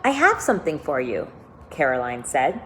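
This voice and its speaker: A woman teacher reading a children's novel aloud to her class, speaking deliberately and pausing to think aloud with questions. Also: sits low, sing-song, slight background noise. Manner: deliberate, questioning, stressing key words for young listeners.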